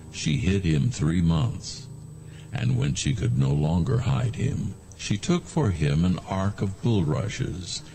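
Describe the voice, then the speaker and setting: A man giving solemn, measured scripted narration of scripture as a storyteller. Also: low-pitched, vocal fry, warm tone, slight background noise.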